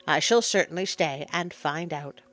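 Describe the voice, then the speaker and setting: A woman's voice: low-pitched, moderate pace, fairly bright tone, faint music behind. A woman voicing a small, curious, self-assured young male mongoose in a storybook. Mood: curious, determined.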